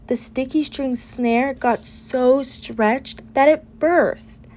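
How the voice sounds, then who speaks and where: A woman reading a children's picture book aloud with an expressive, deliberate delivery. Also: high voice, slight background noise. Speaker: storybook reader.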